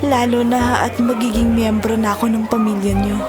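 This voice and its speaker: Gentle, earnest stepmother-to-be, played by a woman, fairly high voice, audio drama with clear music behind. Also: flat delivery, normal speed, close to an everyday voice. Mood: earnest worry. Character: gentle, apologetic girlfriend longing for stepdaughter's acceptance.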